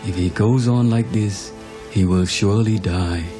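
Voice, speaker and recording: An actor playing a worried, earnest follower of an ascetic prince, grave and concerned, in an animated film. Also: male voice, on the low side, a little slow, music in the background, lightly acted.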